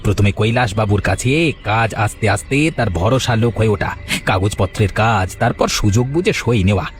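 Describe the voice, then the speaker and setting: Man as a gloating, lowish-voiced village swindler. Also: fairly quick, soft background music, lightly acted. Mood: gloating, smug. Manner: boastful, fawning on his mother. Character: scheming, greedy, gloating grown son and swindler. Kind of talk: dramatized Bengali moral-story cartoon dub.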